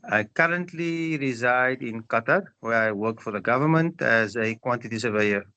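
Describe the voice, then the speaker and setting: A middle-aged man from South Africa, answering interview questions in a measured, explanatory way and often repeating phrases. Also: mid-range voice, bright, forceful tone, highs cut off.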